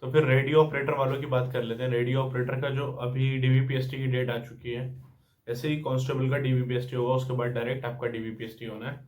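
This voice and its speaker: A man's voice: mid-range voice, level tone, fast talker, a little mellow. A young man giving informal updates and advice as a channel host, explaining point by point and mixing in English words. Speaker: exam-news channel host.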